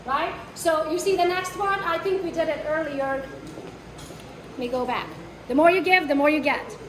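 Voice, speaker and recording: Accented woman trainer, high voice, blunt and brash with a live audience, echoey room, distant mic. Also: normal speed, slight background noise. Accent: foreign. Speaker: real estate marketing trainer. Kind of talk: live workshop talk to an audience.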